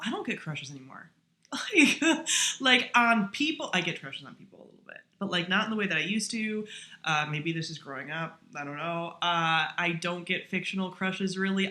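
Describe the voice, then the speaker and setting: A woman's voice: fairly low pitch, pushed and bright. A woman chatting casually to camera as a book reviewer, informal and slangy, with self-deprecating asides.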